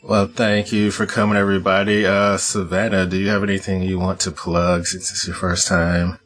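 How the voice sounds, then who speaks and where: A man hosting a podcast in a halting, unhurried way, laughing easily. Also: low-pitched, monotone, a bit bright, faint music behind. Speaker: podcast host. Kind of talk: casual chat.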